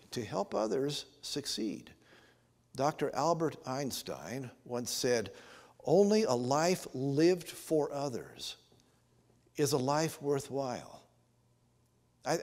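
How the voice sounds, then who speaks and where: A man in his sixties with an American accent, preaching and telling stories as a pastor, earnest and given to emphatic pauses, in a church. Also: on the high side, darkish tone. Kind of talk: sermon with storytelling. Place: church sanctuary, at the altar. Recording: reverberant church hall.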